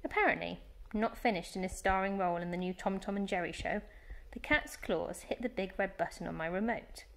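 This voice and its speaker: A woman reading a children's storybook aloud as a teacher, with animated, dramatic emphasis. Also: medium pitch, brisk, creaky. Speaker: primary school teacher reading story time.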